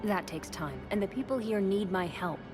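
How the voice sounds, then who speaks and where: A caring, earnest young woman in a video game drama, played by an actress. Her voice is medium in pitch and openly emotional, with music clearly playing behind it. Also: lively intonation, normal speed, lightly acted. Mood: resolute, regretful. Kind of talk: video game cutscene dialogue.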